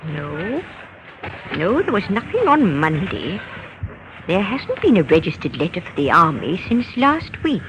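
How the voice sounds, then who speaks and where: An actress playing a chatty, eager village postmistress in a vintage radio drama. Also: female voice, lowish voice, a little slow. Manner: talkative, helpful, expressive. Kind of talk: 1950s radio drama acting. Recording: muffled, noisy old radio recording.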